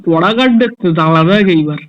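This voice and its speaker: A man's voice: high voice, animated, fast, smooth. A young man chatting casually with a friend on a phone call. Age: young adult. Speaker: prank caller. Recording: dull, lo-fi phone call recording.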